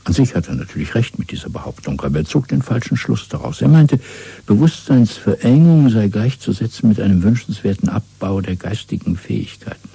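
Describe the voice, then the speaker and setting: Husky, deep, commanding party official. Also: male voice, expressive, warm tone, dry recording, heavily acted. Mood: urbane, lecturing. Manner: measured, didactic, coolly authoritative. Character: calm, commanding senior party official, secretly conspiratorial. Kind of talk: 1970s German radio play acting.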